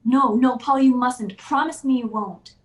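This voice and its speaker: Radio play lead: fearful wife played by a woman, fairly high voice, anxious, pleading. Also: measured pace, natural voice. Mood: pleading, frightened. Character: frightened young wife convinced her husband will kill her. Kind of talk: old-time radio drama acting.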